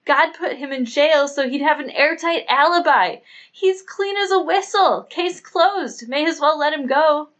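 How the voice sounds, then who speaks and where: A man's voice: very high voice, unhurried, a bit bright, pitched above the performer's own voice. A man voicing a brash, stubborn young woman who argues emphatically in a colloquial way, in an audiobook. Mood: adamant.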